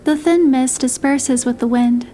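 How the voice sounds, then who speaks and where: Woman as high-voiced young heroine narrating. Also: measured pace, warm tone, soft background music. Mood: gentle storytelling. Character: young female heroine narrating her romantic trip. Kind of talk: scripted first-person story narration.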